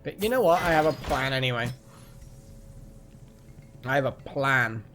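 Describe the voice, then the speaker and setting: A man's voice: medium pitch, animated, measured pace, a bit bright. A man hosting a live game stream, chatting casually and rambling as he thinks aloud. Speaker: game livestream host. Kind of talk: casual live gaming chat.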